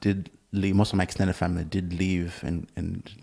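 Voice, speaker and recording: A man in his thirties from Zimbabwe, a conductor and podcast guest, who talks in halting restarts and laughs often. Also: deep voice, level tone, vocal fry, warm tone, husky. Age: 30s. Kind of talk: casual interview conversation. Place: conductor's room in a music building, indoors.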